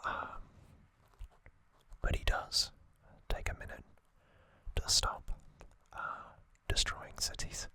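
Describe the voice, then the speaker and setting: A man whispering trivia as an ASMR host into a close microphone, softly, with frequent ums and uhs. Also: takes it slowly. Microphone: close sensitive mic.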